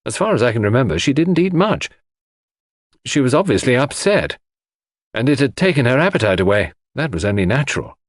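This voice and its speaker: A man voicing an earnest, slightly nettled gentleman, a puzzled friend to a detective, in an audiobook. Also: medium pitch, sing-song, a little fast, dry recording, studio quality, voice pushed up. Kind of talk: audiobook character acting.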